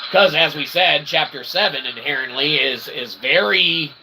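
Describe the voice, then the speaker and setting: A man's voice: on the high side, normal speed. A man hosting a livestream, giving a rambling philosophical monologue in wordy, convoluted phrasing with frequent tag questions. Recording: clear background noise.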